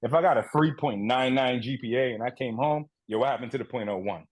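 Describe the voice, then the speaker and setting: A man in his thirties from Guyana talks as a podcast guest with a husky, slightly rough voice. He speaks candidly and profanely, and pokes fun at himself. His pitch sits in the middle. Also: quick pace. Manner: candid, profane, self-mocking. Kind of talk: casual podcast chat.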